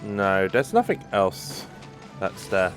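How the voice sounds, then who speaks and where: Animated man doing casual gaming commentary. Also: fairly low pitch, slow pace. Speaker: gamer commenting while playing. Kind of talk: casual let's play commentary. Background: game music.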